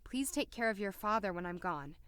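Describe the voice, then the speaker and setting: A woman's voice: medium pitch, expressive, brisk, a bit bright, smooth, no echo, close to an everyday voice. A woman playing a determined, sly female doctor in an audio drama, polite but pointed. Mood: sombre reading.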